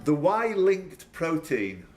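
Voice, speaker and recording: A man's voice: on the high side, big pitch swings, takes it slowly. A man working as a quizmaster, reading questions live with informal teasing banter and stumbling self-corrections. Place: indoors at a pub quiz tournament.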